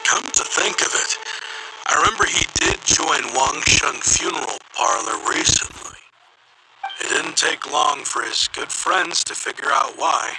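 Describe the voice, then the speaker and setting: A man voicing a reserved, wistful young man in a video game, measured and gently reflective. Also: fairly high pitch, background noise. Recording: music behind the voice.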